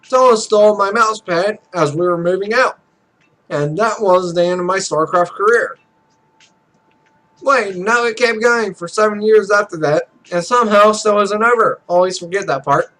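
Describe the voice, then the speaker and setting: High, animated young pro gamer, acted by a man. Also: moderate pace. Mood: wry. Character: joking, cocky young male esports pro.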